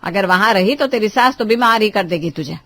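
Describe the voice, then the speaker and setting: Nagging, animated mother-in-law. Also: mid-range voice, a little fast, no echo. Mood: scolding. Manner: scolding, overbearing, theatrical. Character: nagging middle-aged Indian mother-in-law, bossy, doting on daughter. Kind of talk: dramatized family story acting.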